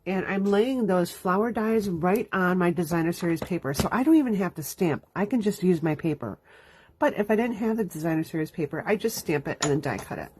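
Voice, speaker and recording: A woman with a stuffy, sniffly nose from allergies talks through a card-making project step by step. She speaks in a chatty, easy way with a fairly low voice. Faint hiss and small clicks sit under her voice. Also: expressive, fairly quick, a little mellow. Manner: chatty, explains each step as she works. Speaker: crafting host. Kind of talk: live card-making demonstration. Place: home craft room. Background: dog dragging its bed around.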